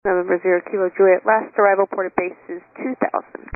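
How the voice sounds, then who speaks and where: A woman's voice: medium pitch, level tone, fast talker. A woman working as a tower controller, giving procedural instructions over air traffic radio. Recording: tinny, staticky tower radio.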